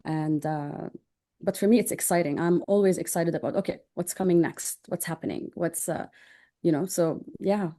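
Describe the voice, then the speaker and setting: A woman's voice: fairly low pitch, normal speed, mellow. A woman in her thirties from Jordan, answering interview questions in an upbeat, informal, chatty way. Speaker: interview guest, online business owner.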